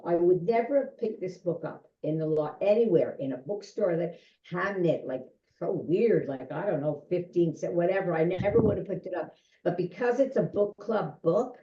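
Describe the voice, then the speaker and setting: A woman's voice: lowish voice, dark tone. A woman in a virtual book club, talking enthusiastically over a video call. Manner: enthusiastic, gushing. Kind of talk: casual group discussion. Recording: echoey lo-fi call audio.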